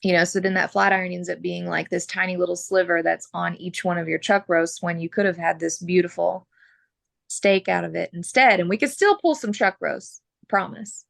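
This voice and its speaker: A woman from Oklahoma, a butcher, talking frankly as a podcast guest while losing her voice and clearing her throat. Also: on the low side, fairly even intonation, moderate pace, fairly bright tone, no echo. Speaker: butcher and mobile processor owner.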